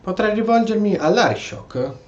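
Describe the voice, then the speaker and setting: A man's voice: high voice, animated, fairly quick, roomy sound, dull audio, slight background noise. A man who is a native Italian speaker, hosting a gameplay video with role-play commentary and read-out dialogue choices. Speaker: gaming video host.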